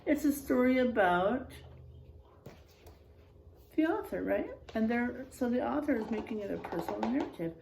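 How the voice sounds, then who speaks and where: Animated teacher reading aloud. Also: female voice, a little high, normal speed, gravelly, long pauses, background noise. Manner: animated, gentle, asks the children questions. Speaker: first-grade teacher. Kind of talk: reading a picture book aloud to a class.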